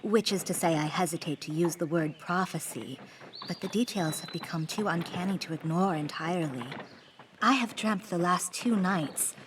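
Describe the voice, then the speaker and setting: A woman's voice: on the low side, normal speed, noisy background, natural voice. A woman playing an earnest, scholarly noblewoman who speaks formally and precisely in a fantasy audio drama. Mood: thoughtful lecturing.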